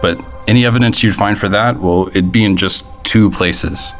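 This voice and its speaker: Man acting folksy deep voiced conspiracy guy in audio drama, colloquial, knowing. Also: normal speed, soft background music, natural voice. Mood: knowing, grave.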